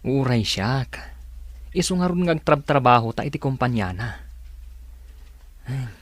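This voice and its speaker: An actor plays a concerned best friend with a medium-pitched male voice, recorded dry and close. He questions and advises candidly, in radio drama style. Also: lively intonation, unhurried, no room sound. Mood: advising. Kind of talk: Ilocano radio drama acting.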